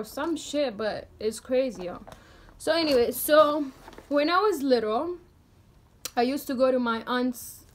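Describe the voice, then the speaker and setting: A young woman from Tanzania telling stories casually to her viewers in an animated, slangy, emphatic way. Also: high-pitched. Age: young adult. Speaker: storytime vlogger. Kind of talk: casual chatty storytelling.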